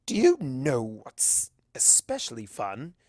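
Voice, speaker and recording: A gleefully unhinged chaos creature, acted by a man, whose theatrical voice swoops widely up and down. He is mischievous and deliberate, a storybook trickster recorded close and dry. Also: mid-range voice, wildly swooping pitch, a little mellow, dry recording, exaggerated voice. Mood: sly glee.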